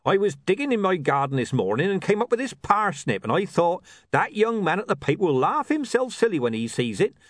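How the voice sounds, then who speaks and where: A man's voice: sits high, big pitch swings, a little fast, few pauses, no room sound, clean studio sound, pitched above the performer's own voice. A man voicing a cheerful, pushy middle-aged man who loves a silly joke, in a storybook reading. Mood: jovial. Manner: hearty, jovial, pushy.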